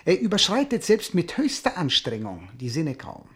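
An actor playing a smooth, cultivated schemer and art connoisseur in a radio drama, phrasing his words with insinuation. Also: on the high side, huge pitch swings, normal speed, fairly bright tone, heavily acted. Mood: connoisseurial. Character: smooth scheming Italian envoy, art connoisseur, coldly plotting. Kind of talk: German radio drama acting.

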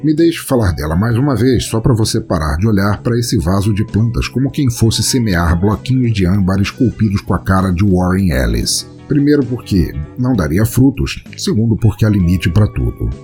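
A man's voice: lowish voice, huge pitch swings, normal speed, few pauses, warm tone, soft background music. A man with a Brazilian accent doing scripted storytelling and podcast hosting in a theatrical, florid manner. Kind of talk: scripted audio-drama narration and hosting.

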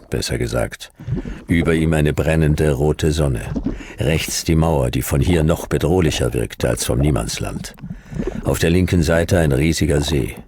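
An actor playing a hard-boiled, laconic private detective, narrating a noir radio drama in terse, clipped phrases with a gravelly voice. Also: male voice, very deep voice, noisy background. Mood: grim, dry. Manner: terse, clipped first-person storytelling.

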